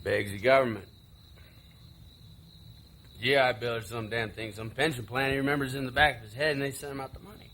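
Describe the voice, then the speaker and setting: An actor playing a taunting, bitter younger brother in a stage play, with a sarcastic, theatrical delivery. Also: male voice, mid-range voice, fairly even intonation, fairly quick, long pauses, a bit bright, slight background noise, natural voice. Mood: bitter storytelling. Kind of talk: live stage play acting.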